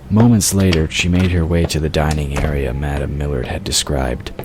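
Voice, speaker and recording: A man's voice: rumbling low, darkish tone. A man reading scripted audiobook narration of a story. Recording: clear noise behind the voice. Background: ambient sound effects.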